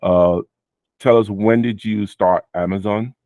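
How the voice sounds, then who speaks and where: A man with a very deep voice hosts a casual chat with his student. He talks loosely, with many fillers, and often sums up what the guest just said. The sound is dry and close. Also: measured pace, no room sound, lo-fi sound. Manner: relaxed, many fillers, repeats guest's answers. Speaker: host and Amazon seller mentor. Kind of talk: casual video interview.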